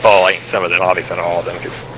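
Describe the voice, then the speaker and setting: A man's voice: deep voice, flat delivery, very fast, over a noisy crowd, low-quality recording. A young man chatting casually as he plays a video game with a friend. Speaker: gaming co-player.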